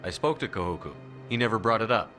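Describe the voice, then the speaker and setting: An actor playing a principled military commander in a video game, firm, measured and direct. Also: male voice, lowish voice, lively intonation, bright, forceful tone, soft background music. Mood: inquiring. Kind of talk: video game character acting.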